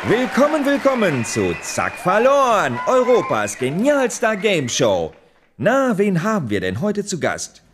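A man playing a showy, teasing game show host in an audio drama, with theatrical, drawn-out catchphrases. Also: sits high, wildly swooping pitch, background noise, exaggerated voice. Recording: music and crowd behind the voice.

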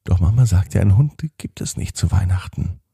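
Male children's podcast storyteller: dark, creaky, husky voice, deliberate bedtime delivery, close dry sound. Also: low voice, dry recording. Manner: deliberate, repeats phrases, drawn-out emphasis.

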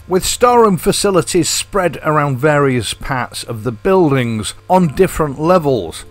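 A man giving a chatty, scripted voice-over as the host of a vlog. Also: medium pitch. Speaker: host and narrator of a motorcycle channel. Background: background music.

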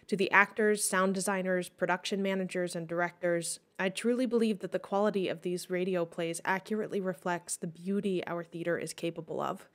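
Woman, slightly low voice, earnest, measured, articulate, scripted address. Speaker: theatre managing director and actor. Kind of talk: scripted appeal to listeners.

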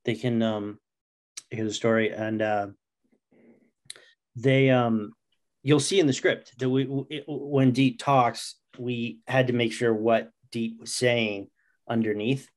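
A man's voice: medium pitch, flat delivery, slow pace. Middle-aged man, casual interview guest. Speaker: guest, writer. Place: indoors, on a video call. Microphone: video call microphone.

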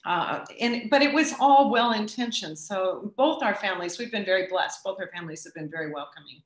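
A woman's voice: medium pitch, expressive. Thoughtful woman in an echoey room. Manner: thoughtful, with restarts and pauses. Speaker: guest speaker. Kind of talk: answering audience questions at a talk.

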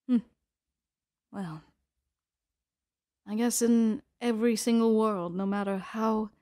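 A woman voicing a thoughtful young adventurer in an anime audio drama, phrasing things deliberately with pauses. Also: mid-range voice, warm tone, no room sound, studio quality. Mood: hesitant, gentle.

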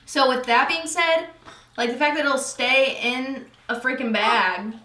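Chatty skeptical woman in her twenties, bright, slightly high voice, echoey distant room sound. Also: a little slow. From St. Louis, USA. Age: 20s. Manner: chatty, skeptical, heavy use of "like". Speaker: host's wife. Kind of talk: casual food tasting chat. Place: dark studio room, indoors.

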